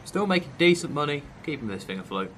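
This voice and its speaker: A man's voice: on the high side, very animated, fast talker, a bit bright, slight background noise. A British man playing a chummy, down-to-earth London newspaper seller from the 1980s in a fan audio drama. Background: music.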